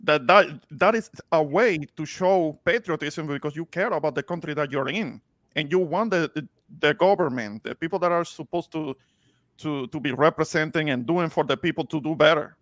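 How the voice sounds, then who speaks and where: A man speaking as a panelist in a casual political discussion, halting and repeating words for emphasis. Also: on the high side, fairly bright tone, no room sound. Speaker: talk show panelist.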